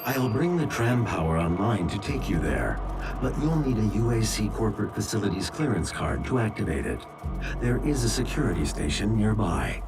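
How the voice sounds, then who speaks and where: An actor playing a helpful, composed artificial intelligence guide in a video game, speaking precisely and formally to give information. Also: male voice, on the low side, sing-song, normal speed. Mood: helpful, instructive. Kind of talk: video game cutscene acting. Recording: distant voice under game music.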